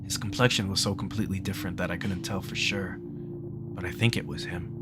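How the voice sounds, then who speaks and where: A man voicing a shaken young man reporting something gruesome in a halting, insistent way. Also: deep voice, steady tone, loud music behind the voice, natural voice. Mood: shaken, grim.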